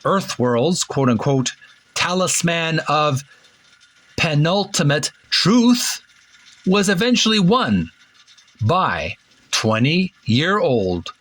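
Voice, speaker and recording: Male retro gaming host, slightly high and husky, casual but halting, stumbles while reading and commenting. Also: very animated, a bit bright. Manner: halting, deliberate, stumbling delivery. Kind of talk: casual read-aloud commentary.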